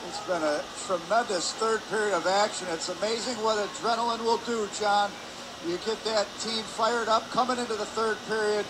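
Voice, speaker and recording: High-voiced male hockey color commentator, noisy arena. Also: fairly even intonation, moderate pace. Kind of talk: live sports analysis. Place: indoor ice hockey arena. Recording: noisy rink ambience behind the voice.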